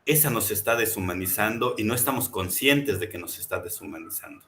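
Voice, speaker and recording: Earnest man with a bright voice. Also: fairly low pitch, expressive, brisk, vocal fry, slight background noise. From Mexico. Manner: earnest, impassioned, rhetorical repetition. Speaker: researcher presenting a book. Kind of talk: reflective book presentation talk.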